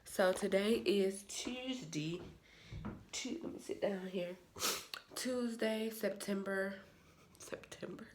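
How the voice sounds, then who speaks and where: A woman's voice: mid-range voice, slow pace, long pauses, noisy background. A woman vlogging casually, chatty and rambling, and nasal and stuffy from a cold. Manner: chatty, rambling, uses slang. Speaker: vlogger and soldier. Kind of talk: casual vlog chat.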